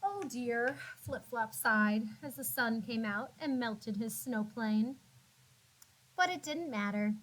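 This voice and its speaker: A woman narrating a children's storybook as a teacher would, expressively, with drawn-out words and dramatic emphasis for young listeners. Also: a little high, a bit bright, low-quality recording. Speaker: classroom teacher reading aloud.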